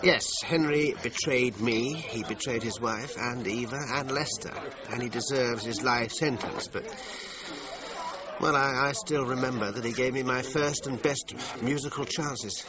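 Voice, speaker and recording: An actor playing an evasive, self-justifying British musician in a crime radio drama, articulate and emphatic. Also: male voice, mid-range voice, measured pace, lightly acted. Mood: weary, bitter. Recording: dull lo-fi sound with pub chatter behind.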